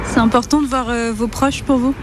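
High-voiced female reporter, noisy outdoor recording. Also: steady tone, a little fast. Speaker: radio reporter interviewing. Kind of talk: casual interview questions.

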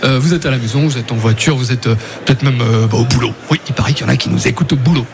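A man's voice: medium pitch, very fast, gravelly. A man hosting a radio quiz in a chatty, playful, teasing and informal way. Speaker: radio game show host. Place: on location at a trade fair. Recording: music behind the voice.

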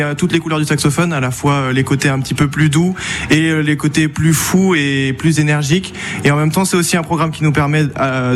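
Young sax guy, a bit higher voice, lots of euhs, faint noise behind. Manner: careful, many fillers. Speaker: saxophonist guest. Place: outdoor festival stage.

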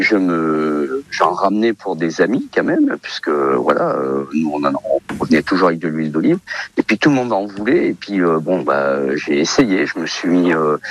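A man's voice: deep voice, husky, no echo, highs cut off. A man in his fifties from Portugal, a radio interview guest who talks casually with many hesitations and fillers. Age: 50s. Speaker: interview guest, olive oil seller.

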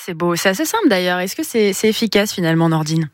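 A woman with an Italian accent hosting a morning radio show, chatting quickly and brightly between segments. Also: lowish voice, sing-song, no room sound, clean studio sound. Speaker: radio host.

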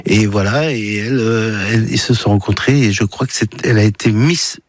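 A man's voice: fairly low pitch, brisk, dry recording. A middle-aged man from the Paris region, a film director answering radio interview questions, hesitant with many restarts.

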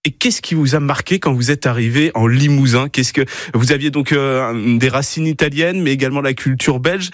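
A man's voice: mid-range voice, brisk, hardly any pauses, no echo, studio quality. A man interviewing a guest on the radio in a conversational way, rephrasing his questions as he goes.

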